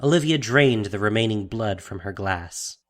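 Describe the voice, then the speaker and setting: A man's voice: on the low side, expressive, moderate pace, a little hiss or hum. A man narrating a fantasy audio drama from a script in a dramatic storytelling style.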